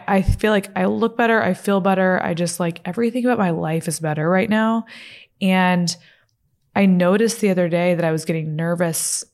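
Late 20s woman rambling on her solo podcast, low-ish voice, casual, says like a lot. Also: a little mellow. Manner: chatty and candid, swears, many "like" fillers. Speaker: podcast host. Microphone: studio mic, seated.

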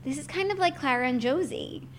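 A woman's voice: high voice, a little fast, slight background noise. A woman hosting a book podcast, chatting casually with many "like" fillers. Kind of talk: casual chat.

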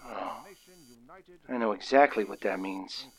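An actor playing a nervous, excitable young working man of the 1930s, stammering and using folksy slang, in a radio drama. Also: fairly low pitch, measured pace, natural voice, low-quality recording. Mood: panicked, despairing. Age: young adult. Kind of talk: old-time radio drama acting.

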